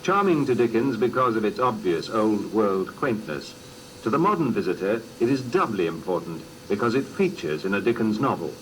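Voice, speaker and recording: A man reading scripted documentary narration in a measured, formal storytelling manner, on an old cassette recording. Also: lowish voice, big pitch swings, slight background noise, poor audio.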